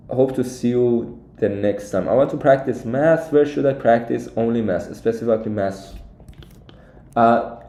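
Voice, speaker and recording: A young man from Iran with an Iranian accent answers viewers' questions casually on a live stream as a coach, often repeating words haltingly. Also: mid-range voice, warm tone, slight background noise. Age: young adult. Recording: echoey, roomy sound.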